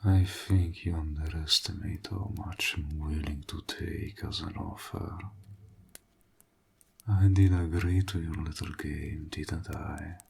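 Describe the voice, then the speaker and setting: A man playing a seductive, menacing warlock in an intimate roleplay audio drama, smug and possessive, teasing in a gravelly, breathy half-whisper. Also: extremely low pitch, steady tone, slow pace, heavily acted. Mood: smug, coaxing.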